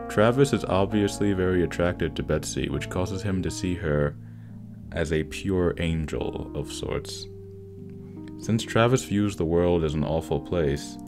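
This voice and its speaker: Really low guy voice doing a scripted movie breakdown, instrumental music playing behind. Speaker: video essay narrator. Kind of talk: scripted film analysis narration. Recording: voice-over with background music. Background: instrumental music with plucked guitar.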